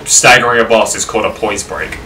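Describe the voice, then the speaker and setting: A man's voice: on the low side, fairly even intonation, fast talker. A man chatting bluntly and casually while playing a video game, swearing freely. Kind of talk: casual gameplay chat. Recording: distant mic with clear background noise.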